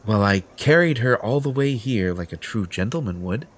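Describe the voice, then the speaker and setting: A man's voice: fairly low pitch, expressive, normal speed. A man playing a smug, possessive, entitled superhero in an audio roleplay, pompous and condescending in manner. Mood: smug, defensive. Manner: pompous, self-satisfied, condescending. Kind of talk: audio roleplay acting addressed to the listener.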